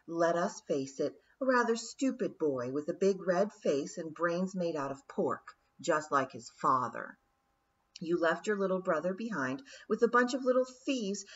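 A heartless fairy queen, put on by a woman narrator, purring her insults slowly and softly in a husky voice. She sounds condescending and mocking, never raising her tone. The audio is a little muffled, like a dull-edged storybook recording. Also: on the low side, lively intonation. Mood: taunting. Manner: silky, condescending, taunting. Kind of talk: audiobook character voice.

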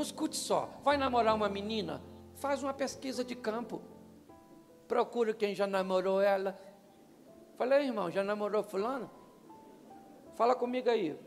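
An older Brazilian pastor jokes with his congregation and keeps asking them questions. His voice sits high for a man and has a gravelly edge. He speaks into a handheld mic in a large hall with a long reverb. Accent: Brazilian Portuguese. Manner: joking, animated, asks the crowd questions. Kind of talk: humorous marriage talk to couples. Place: church stage, large hall. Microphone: handheld microphone. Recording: live church hall with long reverb and faint music.

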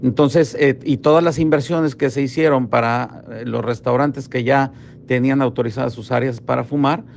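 Middle-aged man, gravelly, halting phone interview; faint line hum. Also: medium pitch, steady tone, darkish tone. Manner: hesitant, many fillers and restarts. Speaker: restaurant industry representative.

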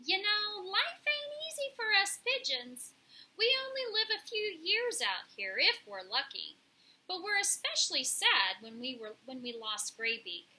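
A woman's voice: very high-pitched, big pitch swings, a little slow, pushed and bright, pitched above the performer's own voice, full character voice. A woman voicing a bold, streetwise female pigeon who leads her flock, talking in an emphatic, colloquial, bossy way in a children's storybook. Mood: regretful.

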